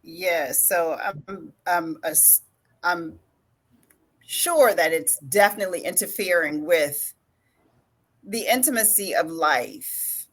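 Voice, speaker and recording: A woman's voice: fairly low pitch, slow pace, fairly bright tone, husky. A woman hosting a relationship podcast interview who sounds upbeat and friendly and laughs easily while asking questions. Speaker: podcast host. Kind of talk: casual interview.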